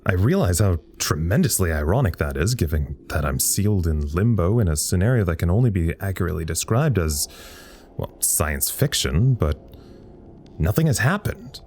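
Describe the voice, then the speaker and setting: An actor playing a bored, sardonic communications officer at a secret lab, wry and rambling in naturalistic audio drama style. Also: male voice, deep voice, a little mellow, a little hiss or hum.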